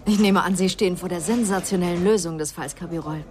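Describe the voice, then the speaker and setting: A woman's voice: lowish voice, quick pace, darkish tone, close to an everyday voice. Witty, teasing detective's secretary played by a woman. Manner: ironic, playfully grand. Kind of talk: radio crime drama dialogue.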